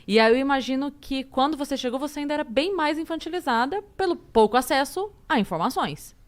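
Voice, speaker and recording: A woman's voice: sits high, lively intonation, fairly quick. A woman with a Brazilian accent hosting a casual conversation, thinking aloud as she frames her questions. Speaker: podcast host.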